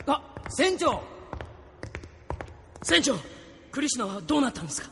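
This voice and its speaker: A man's voice: very high voice, big pitch swings, moderate pace, creaky, pushed and bright, exaggerated voice. A man playing a hot-headed, eager young crewman in a Japanese audio drama. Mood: agitated, eager. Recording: long hall reverb, some noise.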